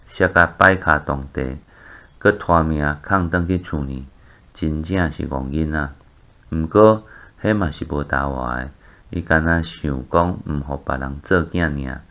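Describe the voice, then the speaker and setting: A man's voice: rumbling low, a bit bright. A man with a Taiwanese accent giving a reflective commentary on a story he has read, as a guest reviewer.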